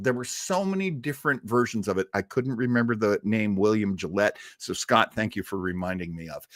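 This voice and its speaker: A man's voice: medium pitch, fairly bright tone, no room sound, studio quality. A man hosting a livestream, chatting casually and answering viewers, rambling with laughs and restarts. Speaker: livestream host. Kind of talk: casual chat with live chat viewers.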